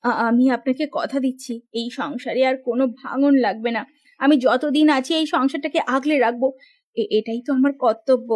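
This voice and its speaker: A humbled young sister-in-law in a family cartoon, played by a woman. Her voice is high and earnest, with a pleading, remorseful manner. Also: normal speed. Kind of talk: Bengali moral cartoon voice acting.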